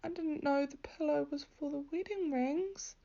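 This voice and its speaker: A worried little boy from a storybook, acted out by a woman reader, pitched high and pleading. He is earnest and hopeful, with a slightly muffled sound to the recording. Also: normal speed, gravelly, dark tone.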